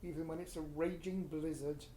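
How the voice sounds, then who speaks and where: A man's voice: on the high side, steady tone, moderate pace, dark tone, a little hiss or hum, lightly acted. In an echoey room, a man acts out a middle-aged British husband, a vain and embittered actor in a radio play. He is scornful and exasperated, forever justifying himself. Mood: weary, cynical.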